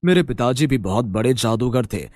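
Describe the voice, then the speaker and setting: A man acts as a young magician come to court a bride in a storybook tale. The voice is medium in pitch, earnest and self-assured, with a dry, close sound. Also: animated, moderate pace, a little mellow, dry recording, lightly acted. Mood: earnest, reassuring. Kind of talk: acted dialogue for moral story.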